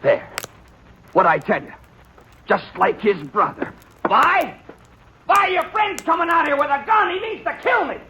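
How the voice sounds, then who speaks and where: A man's voice: very high voice, big pitch swings, a little slow, creaky, pauses often, sharp tone, full character voice. An American man playing a hot-tempered, stubborn, aggrieved frontier brother in a 1950s radio western, with agitated rustic speech. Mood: outraged, alarmed. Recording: muffled, faintly noisy old radio broadcast.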